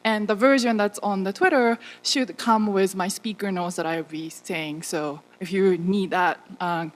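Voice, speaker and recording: A woman's voice: mid-range voice, fairly even intonation, unhurried. Japanese-accented woman speaking in a reverberant hall. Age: 30s. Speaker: conference speaker. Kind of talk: informal conference talk to an audience. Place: large auditorium stage, indoors. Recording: echoey hall with long reverb.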